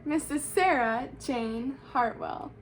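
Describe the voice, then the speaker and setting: A woman's voice: high voice, slow, a little hiss or hum, low-quality recording. A woman voicing a gushing, bustling, commanding female school principal in a children's storybook read-aloud. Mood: loud, announcing.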